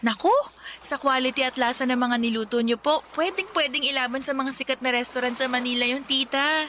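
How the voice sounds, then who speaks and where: An actress as a high-pitched, giggly young woman, noisy recording. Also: steady tone, a little fast, bright, forceful tone. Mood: gushing, grateful. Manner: gushing, giggly. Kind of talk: radio-style love story drama acting.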